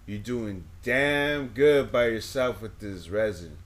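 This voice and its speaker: A man co-hosting a casual podcast, slangy and hyped, swinging between low mumbles and loud shout-outs. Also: slow pace, a crowd in the background. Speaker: podcast co-host. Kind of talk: casual chat.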